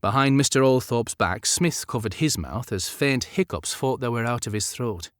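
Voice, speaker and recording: A man narrating a historical romance novel as an audiobook, in measured, dramatic storytelling. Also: medium pitch, animated.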